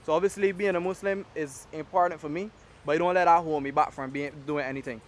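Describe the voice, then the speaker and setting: A young Barbadian man with a Barbadian accent, an activist telling his story in a casual, animated interview. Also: on the high side, fast talker. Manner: animated storytelling in Caribbean dialect. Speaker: award-winning activist guest.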